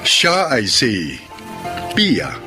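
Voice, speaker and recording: A man's voice: lowish voice, huge pitch swings, extremely slow. A man narrating a scripted introduction to a drama as its announcer. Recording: music under the voice.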